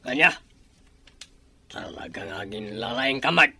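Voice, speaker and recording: A man acting as a scornful, stubborn crook with an Ilocano Filipino accent. His voice is extremely sharp and forceful at a middling pitch, in radio drama style. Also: animated, a little slow, breathy edge, heavily acted.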